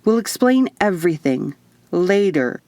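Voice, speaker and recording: A woman's voice: lowish voice, big pitch swings, unhurried, warm tone, no room sound. Woman reading as an exasperated, urgent man. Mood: exasperated pleading. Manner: pleading, terse.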